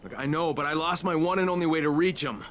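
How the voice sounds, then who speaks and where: A man playing a gruff, defiant and determined undercover operative in a video game. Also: on the high side, quick pace, fairly bright tone, slight background noise, lightly acted. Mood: urgent. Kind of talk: video game cutscene acting.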